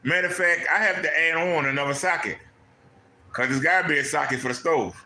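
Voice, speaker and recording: A man's voice: on the high side, fairly quick, bright, forceful tone, echoey room, a little hiss or hum. A man from Maryland hosting a commentary channel, mocking someone in a clip with incredulous, repetitive questions. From Maryland, USA. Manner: incredulous, mocking, repeats points. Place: home room.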